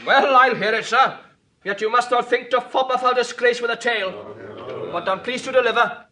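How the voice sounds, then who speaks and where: An actor playing an impatient, cheeky Roman citizen who heckles pertly in a Shakespearean stage drama. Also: male voice, very high voice, normal speed, bright, forceful tone. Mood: impatient, mocking. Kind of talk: classical Shakespearean stage acting.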